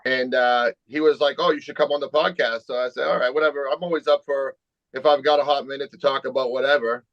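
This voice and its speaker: A man's voice: medium pitch, steady tone, fast, no echo. Laid-back man on a dull, dry line. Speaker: podcast guest. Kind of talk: casual conversation.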